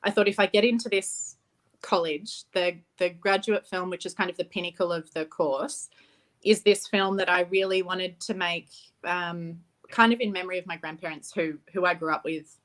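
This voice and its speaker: A woman's voice: on the low side, fairly even intonation, moderate pace. A young woman from Australia, a film director talking about her work as an interview guest, reflective and laughing easily. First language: Ukrainian. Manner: warm, reflective, laughs easily, restarts phrases.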